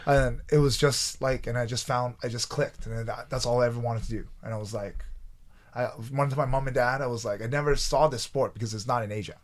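A man in his thirties from Taiwan, raised in Canada, telling his story as a podcast guest in a small studio, animated and halting with restarts. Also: medium pitch. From Taiwan, raised in Canada from age nine. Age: 30s. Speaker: guest, pro athlete. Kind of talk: storytelling in an interview. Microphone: desk mic on boom arm.

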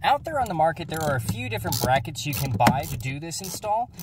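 Higher-pitched young American man, instructional. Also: sing-song, fast talker. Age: young adult. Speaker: host of a car DIY channel. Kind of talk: instructional how-to narration. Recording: clear background noise, clicks and jangling.

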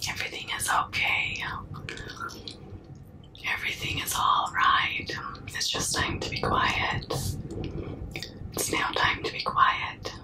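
A young woman doing a comforting ASMR roleplay in a breathy, unvoiced whisper, hushing and soothing up close to the mic. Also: recorded from a distance, rough recording. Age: young adult. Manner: slow, hushing, soothing, repetitive. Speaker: ASMR performer. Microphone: close mic, very near the camera.

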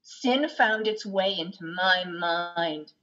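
Woman acting an anguished, slowly declaiming sinner. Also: on the low side, bright, forceful tone. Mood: bitter, solemn. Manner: slow, emphatic declaiming. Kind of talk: dramatic recitation. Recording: dull video call audio.

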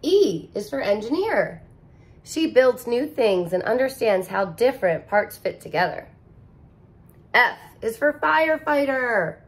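A woman teacher reads a children's picture book at an unhurried pace. Her pitch rises and falls a lot in a sing-song storybook style, with soft little asides between pages. She sounds close to the camera in a living room. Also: big pitch swings. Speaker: teacher reading aloud. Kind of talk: picture book read-aloud for young children. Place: living room with couch, indoors.

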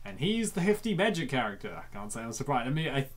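A host reacting to and reading a comic aloud in an excitable, dramatic way, often repeating phrases. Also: medium pitch, quick pace. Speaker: host of comic dub show.

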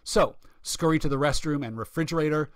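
A man's voice: fairly high pitch, fairly quick, no echo, studio quality. A man announcing a radio show in scripted narration.

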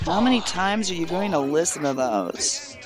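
An actress playing a nagging, sardonic colleague in an audio drama. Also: female voice, on the low side, lively intonation, moderate pace, lightly acted.

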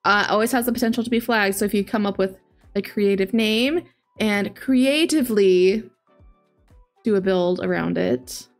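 A woman's voice: mid-range voice, normal speed, soft background music. A woman in her late twenties chatting casually as a livestream host, talking to viewers and reading chat aloud into a close desk microphone. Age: late 20s. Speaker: video game streamer, host. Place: home living area, indoors. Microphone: large desk microphone up close, headset on.

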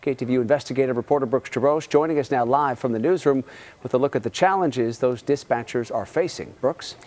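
A male news anchor in his forties with an American accent. He speaks at a medium pitch, presenting from a television studio. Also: a little fast, a little mellow.

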